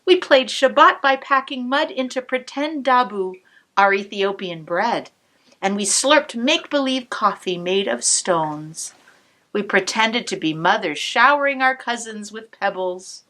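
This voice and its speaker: Slow, animated woman reading to children. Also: fairly high pitch. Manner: warm, expressive storytelling with asides to the listener. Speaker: cantor. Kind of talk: reading a picture book aloud to children.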